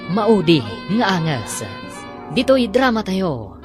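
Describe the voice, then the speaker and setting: A man's voice: high-pitched, sing-song, takes it slowly, music in the background. A man from the Philippines announcing a radio drama episode in a formal, scripted way.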